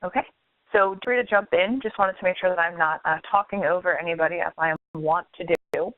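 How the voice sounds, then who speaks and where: A woman's voice: lowish voice, brisk, no echo. A woman moderating a webinar informally, polite and tentative in her phrasing, heard over a tinny phone line. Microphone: phone or conference line.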